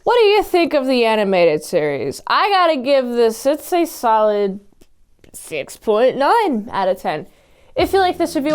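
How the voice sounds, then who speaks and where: Casual female review host: high-pitched, clean clear tone, informal and chatty delivery. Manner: chatty, informal, playful asides.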